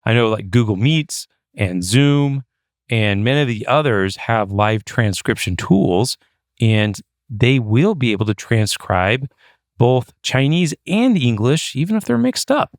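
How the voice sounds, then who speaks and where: A man's voice: mid-range voice, big pitch swings, unhurried, dry recording, clean studio sound. A man co-hosting a podcast, chatty and loose, with frequent fillers. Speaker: podcast co-host. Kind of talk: casual podcast chat.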